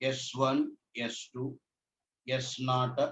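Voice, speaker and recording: A hoarse man giving an online lecture, repeating his phrases with many "uh" fillers and asking students whether they can hear him. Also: mid-range voice, completely flat delivery, very slow, fairly bright tone. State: hoarse from a throat infection. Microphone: laptop mic. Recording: echoey, dull laptop recording with faint hiss.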